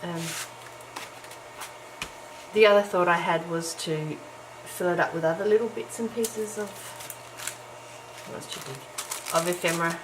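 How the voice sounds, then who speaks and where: A middle-aged woman with a low, croaky just-woken voice, chatting as she works on a craft project. She talks casually and self-deprecatingly, scattering ums and soft laughs. It is recorded on a camera's own mic at home, with clear background hiss. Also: measured pace, pauses often. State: croaky morning voice. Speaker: crafting vlogger. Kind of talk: casual chat while crafting. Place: home, early morning. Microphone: camera's built-in mic.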